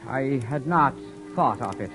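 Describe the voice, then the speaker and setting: A man acting a proud, sharp-tongued young wanderer who narrates his own tale in a 1940s radio drama, with a wry, sardonic telling. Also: medium pitch, lively intonation, measured pace. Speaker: narrator and lead character. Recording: old radio broadcast with faint music.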